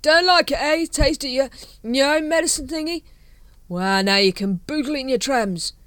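A woman's voice: mid-range voice, fairly quick, dry recording, voice pushed up, natural voice. A woman voicing a drunken male night-watch captain who rambles and repeats himself. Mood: drunk gloating.

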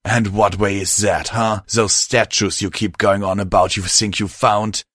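Man as a bitter, low-voiced stallion, curt. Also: measured pace, fairly bright tone, no room sound, clean studio sound. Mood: angry. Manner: curt, sweary, confrontational. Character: bitter, desperate, stubborn male unicorn pony.

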